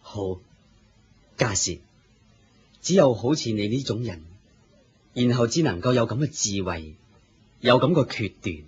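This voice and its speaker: A man with a Hong Kong Cantonese accent playing a wise, authoritative spiritual teacher, measured and didactic, in a radio drama. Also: mid-range voice, animated, slightly rough. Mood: calm, instructive. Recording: muffled lo-fi radio drama recording.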